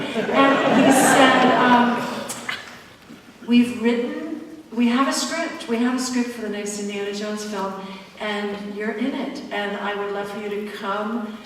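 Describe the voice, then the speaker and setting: An older woman tells a story in a chatty, animated way, her voice a touch high. The mic sits far from her, and a big hall's long reverb rings around every word, with a faint hiss beneath. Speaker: panel guest actress. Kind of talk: anecdote at a convention panel. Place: large convention hall. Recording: echoey hall from far off.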